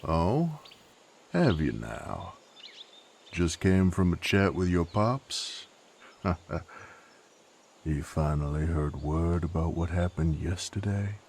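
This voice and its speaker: Gruff, hot-tempered rustic knight, played by a man, audio drama; unhurried drawl, gravelly, sardonic. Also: low voice, sing-song, a little mellow, slight background noise, exaggerated voice. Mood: wry, teasing. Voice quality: gravelly, husky. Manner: folksy drawl with rustic slang. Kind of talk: character audio roleplay acting.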